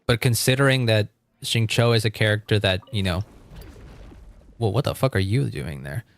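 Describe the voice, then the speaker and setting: A man's voice: on the low side, normal speed. A man live-streaming a game, chatting casually and thinking aloud in an informal way, with casual swearing. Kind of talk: casual live-stream chat while gaming.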